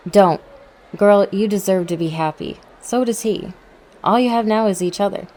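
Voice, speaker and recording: A woman's voice: mid-range voice, normal speed, a little mellow, natural voice. An actress playing a confident, supportive young female superhero in a casual audio drama style.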